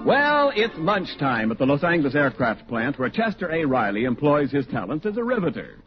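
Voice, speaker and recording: A man's voice: on the high side, wildly swooping pitch, a little fast, music in the background. A man reading scripted introductions as a 1940s radio announcer. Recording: dull, faintly noisy 1940s radio recording.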